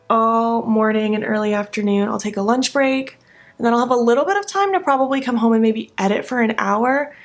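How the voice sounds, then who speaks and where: Sleepy chatty young woman with vocal fry. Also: a little high. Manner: chatty and rambling, with filler likes. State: sleepy-voiced. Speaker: beauty vlogger. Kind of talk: casual get-ready-with-me chat.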